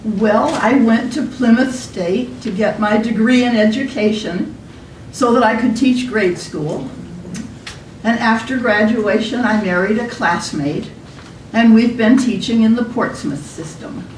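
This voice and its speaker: A woman playing a polite schoolteacher with drawn-out, falsely sweet manners in an amateur comedy skit. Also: on the high side, a little hiss or hum, lightly acted. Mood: demure, sly. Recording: roomy, distant live stage recording.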